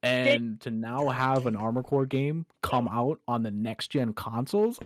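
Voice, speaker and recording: A man co-hosting a casual gaming podcast, relaxed and enthusiastic as he chats. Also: medium pitch, unhurried, no echo. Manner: relaxed, enthusiastic about games. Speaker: podcast co-host.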